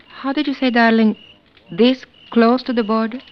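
A woman's voice: fairly high pitch, steady tone, moderate pace, lightly acted. An actress playing a desperate, coaxing female prisoner pleading her case in a 1950s radio drama, with a hesitant, dramatic delivery. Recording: muffled, noisy vintage radio recording.

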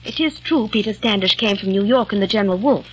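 A woman in a 1940s radio drama playing a sharp, suspicious young eighteenth-century lady who speaks in an accusing, clipped way. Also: medium pitch, quick pace, breathy edge, low-quality recording.

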